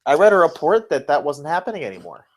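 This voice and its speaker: A man's voice: a little high, sing-song, fast. A man co-hosting a podcast, reacting off the cuff in casual chat. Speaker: podcast co-host.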